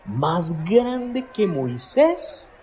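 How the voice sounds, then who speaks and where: A man's voice: high-pitched, very animated, very slow, dark tone, slight background noise, exaggerated voice. An actor playing an older, skeptical townsman in a radio drama, asking probing, incredulous questions.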